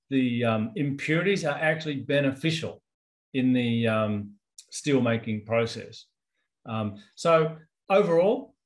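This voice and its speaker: Australian man giving a relaxed webinar talk. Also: medium pitch. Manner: conversational, frequent ums and restarts. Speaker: company executive presenting research. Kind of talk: webinar presentation and Q&A. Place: indoors, office with branded backdrop. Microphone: video call.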